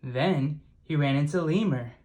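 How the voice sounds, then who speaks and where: A storyteller reading a children's picture book aloud in a measured, expressive way. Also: mid-range voice. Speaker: storybook reader. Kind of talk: scripted children's story narration, read aloud.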